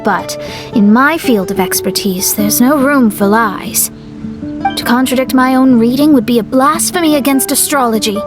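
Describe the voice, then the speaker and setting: A woman performs a proud young astrologer from a video game. Her voice is high and she speaks formally and earnestly, with lofty self-assurance. Music plays clearly behind her. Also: natural voice. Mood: firm.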